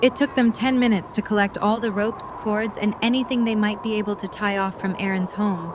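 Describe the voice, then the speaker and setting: A woman's voice: mid-range voice, steady tone, brisk. A woman reading scripted story narration for an audio drama. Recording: noisy storm ambience behind.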